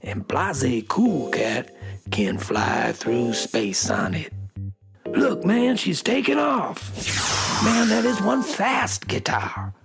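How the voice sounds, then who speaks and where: An actor playing a hip beatnik narrator full of wonder, telling a children's radio story dramatically. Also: male voice, fairly high pitch, sing-song, very slow, creaky, music in the background, full character voice. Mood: explanatory.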